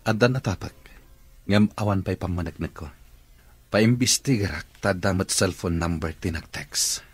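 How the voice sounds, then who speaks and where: A man acting as a kindly, protective master of a mansion in a radio drama, speaking with measured authority and an Ilocano accent. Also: low-pitched, husky. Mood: reassuring, resolute.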